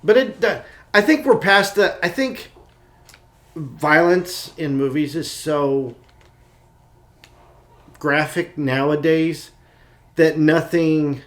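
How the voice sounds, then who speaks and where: A man's voice: on the high side, takes it slowly, pauses often, fairly bright tone. A man co-hosting a podcast in casual chat, speaking in an enthusiastic, opinionated and informal way. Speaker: podcast co-host.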